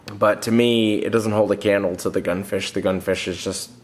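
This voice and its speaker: A man's voice: lowish voice, fairly even intonation, normal speed, darkish tone. A man in his thirties hosting a fishing vlog, answering viewer comments in a plain, rambling way, sounding nasal from a cold. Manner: plain-spoken, restarts often, reads comments aloud. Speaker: fishing channel host. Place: home room, indoors.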